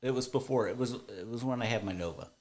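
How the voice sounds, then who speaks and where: A man's voice: medium pitch, fast, darkish tone, a little hiss or hum. Man telling dry stories on a podcast. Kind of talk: casual podcast chat.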